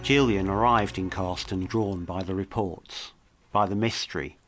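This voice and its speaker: A man reading scripted mystery narration in a measured, dramatic storytelling manner. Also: low-pitched, steady tone, music in the background. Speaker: documentary podcast narrator.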